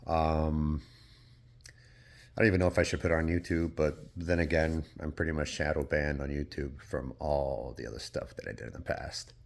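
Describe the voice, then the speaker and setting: Low-voiced rambling man vlogging. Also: steady tone, normal speed, vocal fry. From Portugal. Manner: rambling, hesitant, frequent fillers and casual swearing. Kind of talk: casual reflective monologue.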